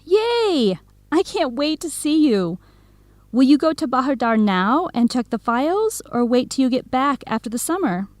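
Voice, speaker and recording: A young woman playing a supportive, excitable best friend in an educational radio drama, eager, expressive and full of questions. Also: high-pitched, normal speed, no echo. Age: young adult.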